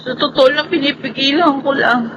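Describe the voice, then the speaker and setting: An actress playing a sensible, sharp-tongued young Filipino office worker in a chiding, questioning radio drama style. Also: female voice, high-pitched, steady tone, moderate pace, lo-fi sound, noisy background, lightly acted. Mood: annoyed, anxious. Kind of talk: Tagalog radio drama acting.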